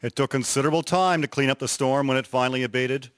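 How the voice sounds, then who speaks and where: A man's voice: mid-range voice, fairly even intonation, a little hiss or hum. Man narrating a radio drama. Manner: measured storytelling. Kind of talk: scripted narration.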